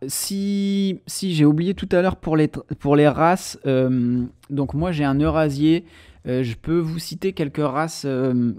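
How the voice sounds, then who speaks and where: A man's voice: medium pitch, dark tone, dry recording, studio quality. A man hosting a solo podcast, explaining casually with many hesitations and fillers. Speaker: podcast host.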